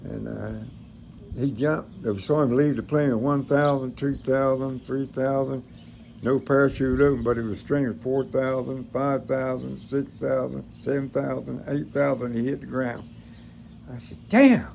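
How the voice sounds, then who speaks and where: Older male, gravelly, plain-spoken storyteller, informal, noisy recording. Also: medium pitch, fairly even intonation, measured pace, darkish tone. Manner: folksy, plain-spoken, rambling. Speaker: grandfather recounting his military past. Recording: noisy room, clear background noise.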